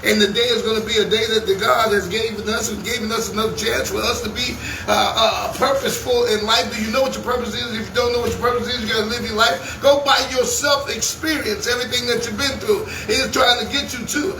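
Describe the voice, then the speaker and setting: A man in his thirties hosting a lively morning radio show, talking in a playful, preacherly way with rhymes. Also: extremely high pitch, quick pace, few pauses, reverberant, far from the mic, a little hiss or hum, low-quality recording. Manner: preacherly, rhyming, playful banter.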